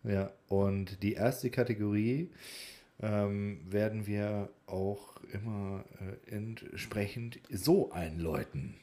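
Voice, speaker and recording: A man's voice: fairly low pitch, takes it slowly, mellow. A man co-hosting a podcast in casual banter, with a jokey, teasing way of talking. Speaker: podcast co-host.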